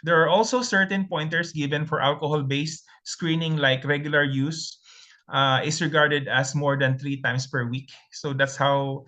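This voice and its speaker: Filipino man with a bright, forceful voice. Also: a little high, fairly even intonation, moderate pace. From Philippines. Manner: methodical, lists items one by one. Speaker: pharmacist presenter. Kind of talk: slide lecture presentation.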